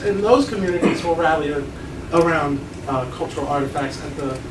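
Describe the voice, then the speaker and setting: A graduate student asking a question at a lecture, hesitant and searching for words. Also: mid-range voice. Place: lecture room. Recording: echoey room, far mic, loud noise.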